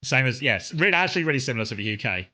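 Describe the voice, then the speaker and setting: Man, young, southern English accent, bright voice, casual streamer chat, rambling with fillers. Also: medium pitch, very fast. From England (Kent). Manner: rambling, relaxed, many fillers and restarts.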